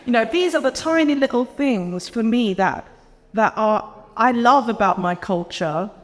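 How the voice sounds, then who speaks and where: A woman's voice: on the high side, lively intonation, a little slow. Casual earnest woman in a hall. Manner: casual, earnest, lots of "like" and "you know". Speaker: panelist. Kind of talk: panel discussion answer. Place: conference hall. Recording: long hall reverb.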